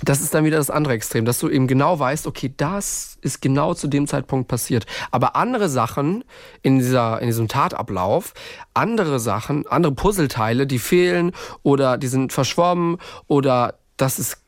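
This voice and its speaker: A man with a medium-pitched voice and noticeable vocal fry talks as a podcast co-host. He is reflective and halting, circling back over his phrases in casual conversation. The sound is dry and free of room echo. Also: animated. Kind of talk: casual true-crime podcast discussion.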